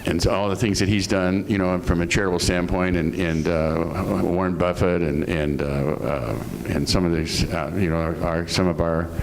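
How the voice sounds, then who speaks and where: An older man with a very low voice tells a story at a panel talk, quoting other people as he goes. His delivery is halting, full of fillers. A faint hiss sits behind him. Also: steady tone, creaky. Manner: anecdotal, quotes others, hesitant fillers. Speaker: guest philanthropist.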